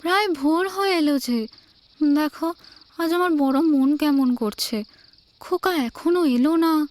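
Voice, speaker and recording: An actress playing a gentle, tender young wife in an audio drama, soft and hesitant in her speech. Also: high voice, measured pace. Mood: anxious. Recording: audio drama with clear background music.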